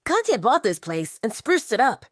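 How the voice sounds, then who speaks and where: A woman voicing a charming, caring young male werewolf bartender in an audiobook, patient and gently teasing. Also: a little high, big pitch swings, normal speed, no echo, clean studio sound. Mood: proud, fond. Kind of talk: audiobook character dialogue.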